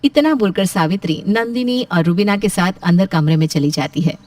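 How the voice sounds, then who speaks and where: A woman's voice: mid-range voice, moderate pace, a little mellow. A woman narrating a scripted story as a storyteller.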